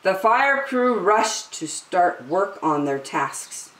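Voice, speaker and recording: A middle-aged woman reading a rhyming picture book aloud to children, drawing out and stressing her words in a deliberate storytelling manner. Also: mid-range voice, very animated, fairly bright tone. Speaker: children's story time host. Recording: roomy indoor echo.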